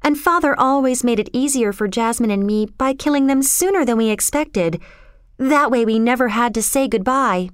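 A woman's voice: on the high side, normal speed, clean tone, no room sound, clean studio sound, voice pushed up, lightly acted. A woman storyteller voicing a spoiled rich young girl, emotional and blithely callous, in a dramatic, pleading manner. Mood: blithe, matter-of-fact.

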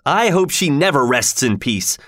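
A man's voice: mid-range voice, animated, a little slow, no echo, clean studio sound, natural voice. A man voicing a tormented, passionate male lover from a storybook, brooding and vengeful, with an intense, anguished delivery. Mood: wild, vengeful.

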